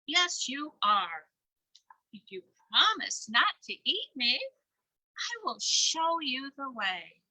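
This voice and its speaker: A woman's voice: sits high, sing-song, slow, bright, forceful tone, no echo, full character voice, low-quality recording. A woman reading a storybook, speaking as a helpful salmon who is careful yet encouraging. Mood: helpful, wary.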